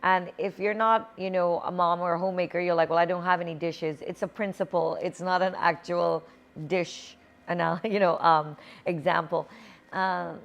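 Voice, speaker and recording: A woman in her forties, a pastor, telling a personal story in a sermon and building up to lively exhortation. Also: on the low side, normal speed, creaky, lo-fi sound. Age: 40s. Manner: storytelling, rising to exuberant exhortation.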